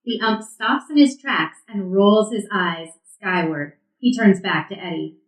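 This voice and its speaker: A woman's voice: mid-range voice, measured pace. A woman reading scripted stage directions as the narrator of a play. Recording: roomy, echoing sound.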